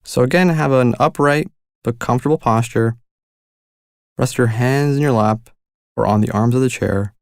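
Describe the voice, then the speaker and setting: A man leading a guided meditation, giving calm, gentle instructions with unhurried pauses. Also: mid-range voice, creaky, dry recording, clean studio sound.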